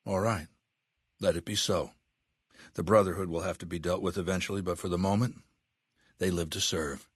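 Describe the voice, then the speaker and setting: A man playing a ruthless, commanding warlord dictator in a video game, menacing and condescending, speaking deliberately. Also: low voice, steady tone, slightly rough, dry recording, clean studio sound. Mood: calculating, commanding. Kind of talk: video game character voice acting.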